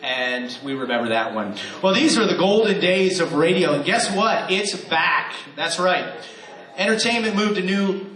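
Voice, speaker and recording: A man's voice: sits high, a bit bright. A man hosting a live radio theater show, joking with the audience and coaching them through cue-card practice. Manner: playful, joking, coaxing the crowd. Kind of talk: warm-up talk to a live audience. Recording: echoey hall with a crowd. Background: audience noise and applause.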